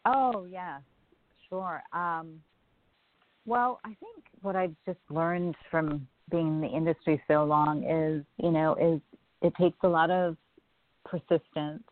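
A guest from Jamaica who works in film, answering interview questions in a thoughtful, measured way. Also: mid-range voice, steady tone. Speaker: filmmaker guest. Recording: dry, phone-like line.

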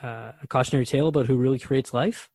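A man's voice: medium pitch, steady tone, fast, a little mellow, no room sound, studio quality. A man acting as a radio host in a conversational drama, talking in an inquisitive, reflective way.

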